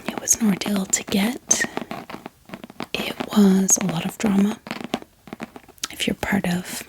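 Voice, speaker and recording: A woman speaking in a soft, breathy ASMR whisper, gentle and unhurried, like a book vlogger showing off her collection. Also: mid-range voice, fairly even intonation, rough recording.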